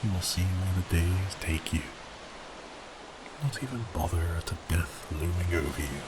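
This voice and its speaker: A man's voice: low voice, moderate pace, heavy background noise, lightly acted. A man playing a giant cursed sea beast prince in an ASMR roleplay, theatrical, melancholy and exasperated. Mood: exasperated, bemused.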